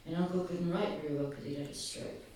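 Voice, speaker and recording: A soft-spoken man giving an earnest, halting public talk, often pausing. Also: on the high side, fairly even intonation, a little hiss or hum. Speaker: speaker at a gathering. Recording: very echoey, distant, faint ambience.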